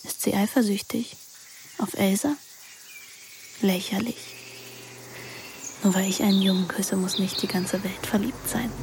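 An actress playing a wry, restless teenage daughter who narrates a literary radio play in an intimate, introspective manner. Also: female voice, mid-range voice, fairly even intonation, unhurried, pauses often, a little mellow, slight background noise, lightly acted. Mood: wry, brooding. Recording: music behind the voice.

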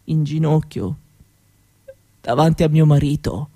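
An actress playing a weary, bitter Roma mother who begs, speaking halting Italian with a Roma accent in a theatrical monologue. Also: sits low, fairly even intonation, background noise. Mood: sad, weary. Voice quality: broken, foreign-sounding Italian. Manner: slow, repetitive, halting.

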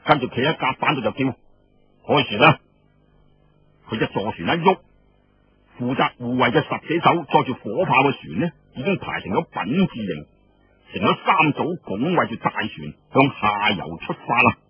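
A man's voice: mid-range voice, big pitch swings, brisk, husky. A man with a Cantonese accent narrating a story in a dramatic, colloquial style with conversational asides. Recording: dull lo-fi radio-style audio, faint noise.

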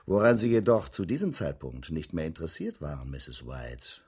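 An actor playing a methodical, politely insistent English police inspector in a radio drama, speaking deliberately and with theatrical emphasis. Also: male voice, very deep voice, sing-song, darkish tone, husky, heavily acted. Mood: grim, emphatic.

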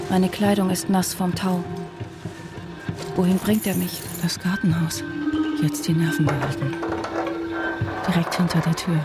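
A woman's voice: fairly low pitch, natural voice. A woman playing a distraught, suspicious wife and mother in a German radio drama, narrating and speaking.

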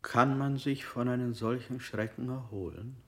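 An actor playing a calm male interrogator in a 1960s radio play. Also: medium pitch, moderate pace, very noisy, lightly acted. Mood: probing. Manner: calm, probing questions. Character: cold male interrogator, middle-aged.

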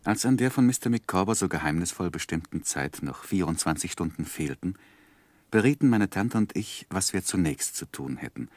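An actor playing a composed, considerate gentleman who narrates his life story in measured literary style for a 1960s radio play. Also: deep voice, animated, no room sound. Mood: composed, reflective.

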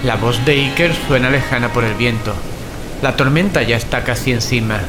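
An actor playing a hurried, wary young boatman in an audio drama. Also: medium pitch, lightly acted, rough recording. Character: young man preparing a getaway boat, hurried and wary. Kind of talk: audio drama acting with inner monologue. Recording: voice over loud background music.

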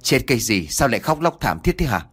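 A man's voice: medium pitch, sing-song, fast, fairly bright tone, breathy edge, soft background music. A man voicing an older, hospitable village chief who speaks earnestly and plainly in a told story. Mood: startled.

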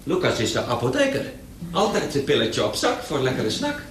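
A man's voice: mid-range voice, wildly swooping pitch, moderate pace. An actor playing a clumsy foreign male house servant who speaks broken Dutch, hesitant, with many "eh" fillers. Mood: cheerful. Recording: roomy with faint noise.

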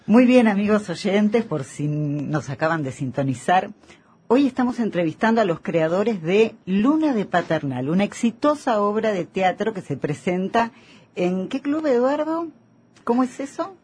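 An actress with an Argentine accent playing a polished, courteous host of a theatre radio programme, with a raspy voice. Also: female voice, mid-range voice, big pitch swings, moderate pace, a little mellow. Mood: formal, presenting. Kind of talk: radio show hosting.

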